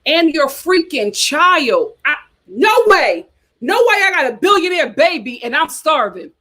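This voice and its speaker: Young female host, sassy casual commentary, bright high register. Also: measured pace. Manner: sassy, animated, talks straight to viewers.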